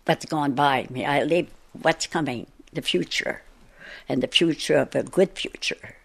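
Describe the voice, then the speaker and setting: A woman over a hundred years old, a native French speaker with an Acadian French accent, reminiscing in an unhurried interview and laughing between thoughts. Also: low voice, vocal fry, breathy edge. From northern Maine. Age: over 100.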